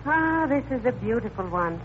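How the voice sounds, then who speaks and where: A teasing, sentimental wife in a vintage radio comedy. She chides gently and stays unruffled. The old broadcast recording is muffled, with clear noise over it. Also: on the high side, big pitch swings, normal speed. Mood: sentimental, amused. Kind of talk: 1940s radio comedy acting.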